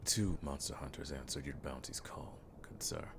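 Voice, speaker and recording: A man playing a seasoned, world-weary but dryly friendly monster hunter in a fantasy audio roleplay, speaking in a measured, wry way with old-fashioned phrasing. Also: noisy background. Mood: courteous, pleased. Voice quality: very deep, gravelly.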